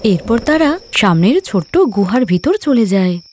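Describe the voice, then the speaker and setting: A woman's voice: mid-range voice, big pitch swings, moderate pace, noisy background. A woman giving scripted narration of a moral story as the storyteller.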